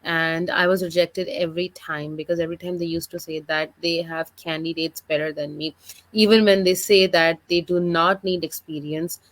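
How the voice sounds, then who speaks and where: A woman in her thirties from Pakistan speaks over a video call as a guest, friendly and fluent, with plenty of filler uhs. Her voice sits a little low. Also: flat delivery, normal speed, rough recording. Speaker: guest, digital business mentor. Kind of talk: online interview, personal story.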